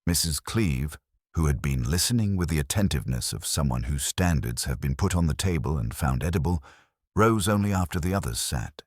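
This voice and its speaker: A man giving a measured, wry, literary narration of a genteel mystery story as a solo storyteller. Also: very deep voice, lively intonation, breathy edge, no echo, clean studio sound.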